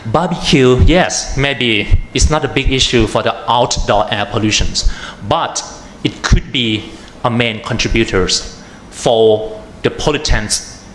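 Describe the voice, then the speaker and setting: A man with a Thai accent, an atmospheric chemist giving a conference talk, halting with many fillers and restarts. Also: mid-range voice, a bit bright. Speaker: panel speaker, atmospheric chemist. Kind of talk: conference presentation. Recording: echoey room with faint noise.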